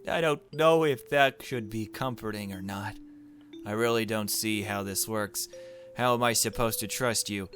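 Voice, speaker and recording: A man voicing a hesitant, worried teenage girl in a mystery visual novel, halting and unsure. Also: fairly low pitch, fairly bright tone, soft background music, close to an everyday voice. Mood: anxious, pleading.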